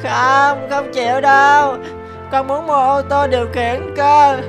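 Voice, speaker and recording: A woman voicing a spoiled, demanding little boy with a whiny, drawn-out delivery in an animated cartoon. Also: high voice. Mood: whining. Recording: background music under the dialogue.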